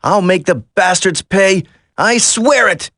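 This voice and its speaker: A man's voice: sits high, takes it slowly, fairly bright tone, dry recording, studio quality, heavily acted. A man voicing an earnest special forces soldier in a video game, dramatic and urgent. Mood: grim, vengeful. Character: earnest male special forces soldier, determined, worried for comrades.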